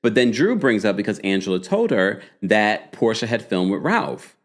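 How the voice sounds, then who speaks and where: A man hosting a casual live recap of a reality show, gossipy and animated, with quick asides to his chat. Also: on the low side.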